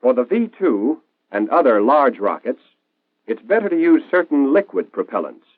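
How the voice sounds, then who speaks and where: Old tinny 1940s training film narrator, american guy, explains stuff clearly, lively up and down delivery. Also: medium pitch, normal speed, no echo. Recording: tinny band-limited 1940s film soundtrack.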